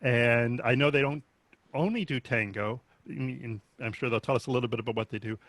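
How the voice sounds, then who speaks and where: A man's voice: mid-range voice, no room sound. Man hosting in a dry close recording. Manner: friendly, polite questions. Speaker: host. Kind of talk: casual interview hosting.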